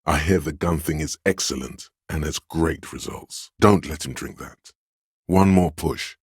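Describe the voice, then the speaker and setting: Extremely deep, commanding inner voice. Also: male voice, husky, dry recording, studio quality, exaggerated voice. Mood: urgent, goading. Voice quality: very deep, resonant bass. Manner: imperious, measured, scolding and goading. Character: commanding, domineering inner voice of authority in a cop's mind. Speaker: video game voice actor. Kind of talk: dramatic game narration, inner-voice lines.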